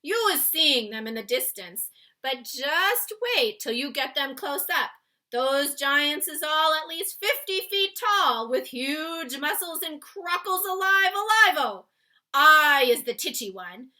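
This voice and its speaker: A woman's voice: high voice, lively intonation, slow, pushed and bright, voice pushed up, exaggerated voice. A woman voicing a big, friendly male giant from a children's storybook, with muddled grammar and nonsense words in an exclamatory manner. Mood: proud, emphatic. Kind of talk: read-aloud children's storybook character.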